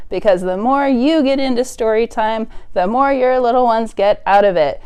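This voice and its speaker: A woman's voice: sits high, a little mellow, smooth. A woman hosting a preschool storytime with songs, rhymes and reading, speaking in simple, encouraging phrases to small children. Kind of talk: preschool storytime with singing, rhymes and read-aloud.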